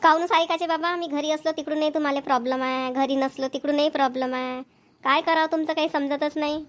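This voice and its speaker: A woman voicing a proud, sharp-tongued rural wife in a cartoon, bickering and boastful. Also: high-pitched, fairly even intonation, quick pace, close to an everyday voice. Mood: teasing. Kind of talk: cartoon voice acting.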